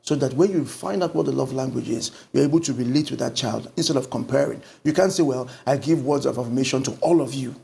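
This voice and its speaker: A middle-aged Nigerian man, a pastor talking through a book in an animated conversational way, recorded on a lapel mic in an indoor studio. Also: mid-range voice, fast talker, vocal fry, few pauses. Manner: animated storytelling with lively gestures. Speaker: pastor and guest.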